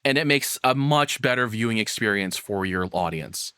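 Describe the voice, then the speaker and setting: A man's voice: lowish voice, animated, normal speed, sharp tone, clear, resonant tone, dry recording, clean studio sound. A man giving casual, rambling, opinionated livestream commentary with some swearing. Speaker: streamer reacting to a video.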